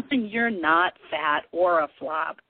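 A woman's voice: deep voice, extremely slow, no echo, heavily acted. A woman acting a blunt, teasing but concerned sister in a radio play. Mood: concerned, probing. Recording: thin phone-like audio, dry.

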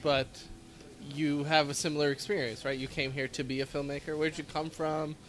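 A man's voice: on the high side, little pitch movement, fairly quick, a bit bright. A man interviewing a filmmaker, prompting him with encouraging questions.

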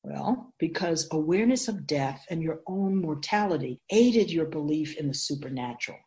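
Woman playing an expressive, low-voiced wise spirit guide. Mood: instructive. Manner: measured, instructive, emphatic, with deliberate pauses. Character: female Keeper of Souls, ageless wise spirit guide. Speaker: teacher figure in a radio drama. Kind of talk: scripted radio drama, explanatory dialogue.

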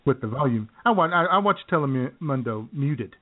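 A man's voice: medium pitch, animated, moderate pace. Joking man on a tinny line. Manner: joking, rambling storyteller. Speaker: podcast co-host. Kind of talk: casual comedic chat. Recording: tinny phone-like sound.

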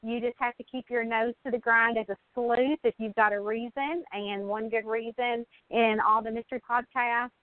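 A woman with a slightly high voice chats as a podcast guest. She is effusive and folksy. She sounds dry and thin, like someone calling in by phone. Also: fairly even intonation, normal speed, few pauses, no echo. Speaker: guest, mystery novelist. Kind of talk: casual interview chat. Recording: narrow phone-line sound.

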